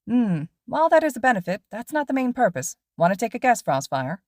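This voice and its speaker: Woman doing a loud cheerful male hero teacher, high and bright, booming, upbeat, audiobook reading, dry close sound. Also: big pitch swings, fairly quick, no room sound. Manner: exuberant, upbeat, projecting.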